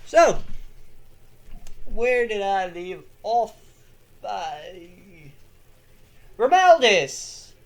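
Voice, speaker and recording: A young man hosting a lore video, reading his script in a casual, excitable way, swearing freely and breaking into yells. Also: high voice, very slow, long pauses.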